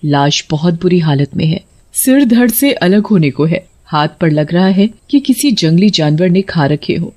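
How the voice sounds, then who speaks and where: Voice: mid-range voice, darkish tone. A narrator reading a scripted horror story in the third person.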